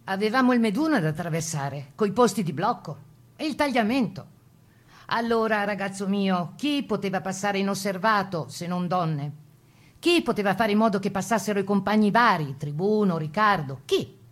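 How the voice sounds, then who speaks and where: Casting: actress as spirited wartime resistance courier, mid-pitched, conversational storyteller, radio drama monologue. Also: female voice, expressive, normal speed, close to an everyday voice. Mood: proud, spirited. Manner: conversational storytelling with rhetorical questions. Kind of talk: dramatic first-person monologue.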